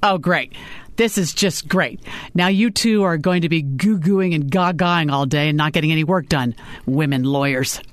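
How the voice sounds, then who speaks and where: A woman's voice: low voice, normal speed, no echo, lightly acted. A woman voicing a brusque, sarcastic male law firm boss in an audiobook, curt and commanding. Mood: sarcastic, annoyed. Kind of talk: audiobook character dialogue.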